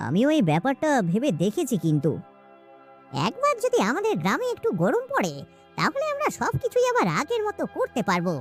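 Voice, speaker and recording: A woman with a West Bengal accent voicing an earnest, worried village husband in a cartoon, with a plaintive manner. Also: sits high.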